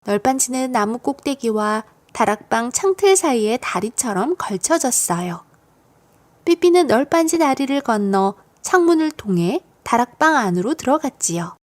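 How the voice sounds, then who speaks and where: A woman narrates a children's story from a script. Her voice sits high and moves widely up and down. She tells it expressively, as if for young listeners. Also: sing-song, moderate pace. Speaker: audiobook narrator of children's stories.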